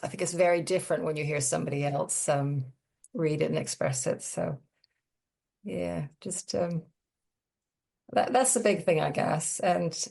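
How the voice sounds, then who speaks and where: A woman giving casual interview answers as a guest, halting as she thinks aloud. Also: deep voice, creaky.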